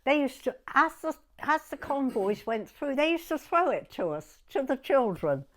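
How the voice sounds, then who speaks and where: A woman in her nineties with a southern English accent, reminiscing informally in an interview in a chatty, halting way with plenty of "you know". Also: high-pitched, sing-song, fairly bright tone. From Dorset, England. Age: 90s. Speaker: oral history interviewee.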